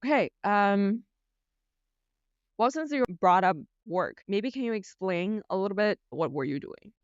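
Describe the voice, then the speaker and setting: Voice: mid-range voice, lively intonation, measured pace, smooth, no echo, dull audio. A podcast host opening the show and asking the guest plain, curious questions.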